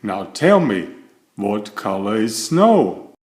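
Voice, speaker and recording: Slow, animated man reading a bedtime story. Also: fairly low pitch. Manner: expressive, addresses the listener with questions. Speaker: storybook reader. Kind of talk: read-aloud children's bedtime story narration.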